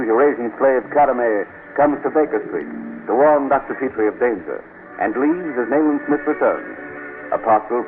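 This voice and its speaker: Casting: male 1930s radio narrator, somewhat deep, scripted and dramatic, on a narrow noisy old recording. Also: lightly acted. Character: dramatic, formal radio serial announcer. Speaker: announcer.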